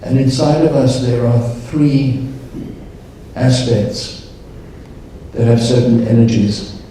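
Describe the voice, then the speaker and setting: A man speaking at a conference, leading a guided meditation in a calm, slow, measured way. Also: medium pitch, a little hiss or hum. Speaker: meditation leader. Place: large conference hall. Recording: echoey hall, distant mic.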